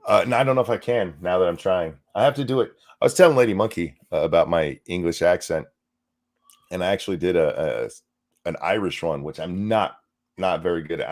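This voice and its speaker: A man co-hosting a casual political commentary show, reading news aloud and breaking off into sarcastic, profane asides. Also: sits low. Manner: sarcastic, swears freely, jumps from dry reading to mocking asides. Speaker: co-host.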